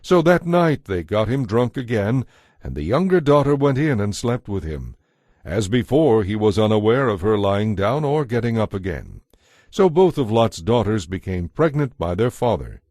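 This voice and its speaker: A man narrates a dramatized Bible story from a script. His voice sits on the low side, and the recording is dry with no room sound. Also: big pitch swings, normal speed, darkish tone, dry recording.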